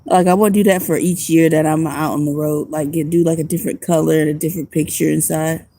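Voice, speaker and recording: A woman's voice: low-pitched, fairly even intonation, fast, warm tone, no echo. A woman who is a homeless vlogger and music producer, chatting casually and rambling in slang on a phone livestream outdoors at night.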